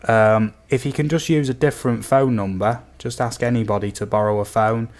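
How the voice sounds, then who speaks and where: A man from the UK with a slightly croaky voice, chatting casually and easily to viewers as a livestream host. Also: mid-range voice, steady tone, brisk. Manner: easygoing, chatty, addresses viewers as buddy and guys. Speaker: game streamer, host.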